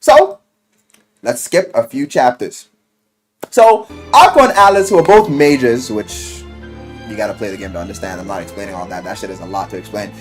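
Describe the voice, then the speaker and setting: A man telling a story casually in slang and swearing, as an animated video game reviewer who acts out what people say. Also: fairly low pitch, normal speed, faint music behind. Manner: animated storyteller, acting out quoted lines.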